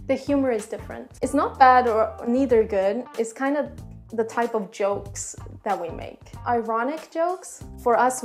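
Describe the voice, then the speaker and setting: A young woman from Sweden, speaking in a thoughtful, measured way in an interview. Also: on the high side, a little mellow. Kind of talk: casual interview talk. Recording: faint music behind.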